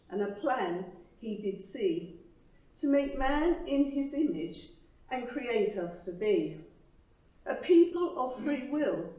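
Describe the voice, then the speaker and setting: A woman reading her own rhymed devotional poem to a church congregation, with earnest, emphatic phrasing and soft falling line endings. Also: a little high. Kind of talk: rhymed devotional poetry recital. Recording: roomy, distant, slightly dull microphone pickup.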